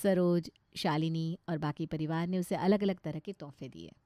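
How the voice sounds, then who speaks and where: A woman's voice: deep voice, normal speed, warm tone, no echo, clean studio sound. A woman storyteller reading scripted narration of a moral tale.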